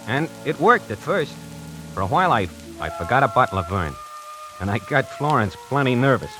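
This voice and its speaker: A man's voice: medium pitch, lightly acted. An actor playing a brash salesman who narrates his own story in a 1940s radio drama, wisecracking and conversational. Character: brash fast-talking male salesman. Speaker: lead and narrator. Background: music score.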